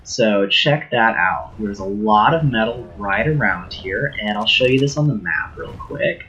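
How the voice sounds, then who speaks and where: Man game streamer, a bit sick, casual and eager with his viewers, lowish voice, dull lo-fi sound. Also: lively intonation, measured pace, bright, forceful tone, slight background noise. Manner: casual, enthusiastic, chatty with viewers. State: a little sick. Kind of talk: live stream game tour with chat.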